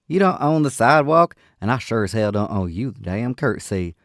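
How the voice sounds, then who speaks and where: A man voicing a fiery, fearless young woman of the Old West, shouting defiantly in folksy talk for an audiobook. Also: lowish voice, expressive, brisk, no room sound, clean studio sound. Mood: scornful shouting.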